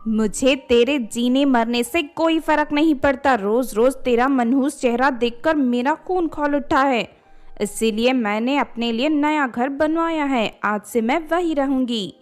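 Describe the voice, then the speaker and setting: A woman's voice: sits high, measured pace, faint music behind. A woman voicing a spiteful, dramatic young stepdaughter in a cartoon moral story. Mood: angry, scornful. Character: spiteful, selfish young stepdaughter, hot-tempered, later panicked.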